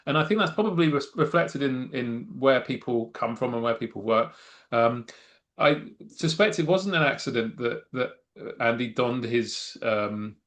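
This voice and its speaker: A man's voice: mid-range voice, animated, normal speed, a bit bright. Middle-aged man, wry political analyst. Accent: non-Mancunian English. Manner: thoughtful, wry, many restarts and fillers. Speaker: political scientist guest. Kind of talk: conversational expert analysis.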